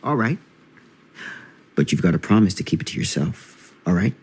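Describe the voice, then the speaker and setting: A middle-aged actor playing a gruff small-town father with dry humor in a video game cutscene. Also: sits low, sing-song, moderate pace, exaggerated voice. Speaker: father of a teen.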